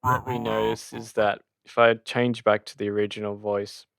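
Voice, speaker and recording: A man giving an informal software tutorial, hesitant and thinking aloud as he explains. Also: lowish voice, level tone, normal speed, vocal fry, no room sound. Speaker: tutorial host.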